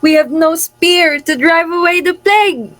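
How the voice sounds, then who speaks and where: A woman's voice: on the high side, lively intonation, unhurried, a bit bright. A woman playing a mournful, pleading citizen in the chorus of a Greek tragedy, reciting formally and dramatically. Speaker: narrator and chorus actor. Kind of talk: staged readers' theatre of Greek tragedy.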